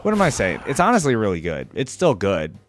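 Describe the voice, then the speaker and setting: A man's voice: on the low side, wildly swooping pitch, a little fast. A man hosts a casual gaming reaction. His intonation moves up and down freely as he thinks aloud in an informal, exclamatory way. Faint music plays behind his voice. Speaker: video game reaction host.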